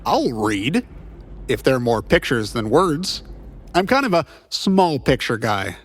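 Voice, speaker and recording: Wisecracking ex-cop detective played by a man, animated mid-range delivery, quippy, easily flustered, audio drama banter. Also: medium pitch, measured pace, a little hiss or hum. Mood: casual, wry. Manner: flustered stammering, flippant quips. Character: wisecracking, awkward male private eye, rough-edged ex-cop. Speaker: private detective character, former cop.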